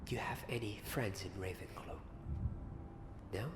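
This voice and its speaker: A man doing ASMR fantasy roleplay, soft and often slipping into a whisper, speaking gently and hesitantly to the listener. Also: on the low side, moderate pace, noisy background. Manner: gentle, hesitant, repeats himself, addresses the listener.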